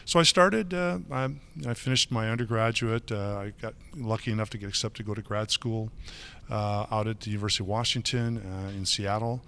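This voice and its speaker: A man's voice: fairly low pitch, steady tone, brisk, sharp tone, slight background noise. A middle-aged man from Michigan, a guest in a casual interview, talking chattily with many fillers and restarts.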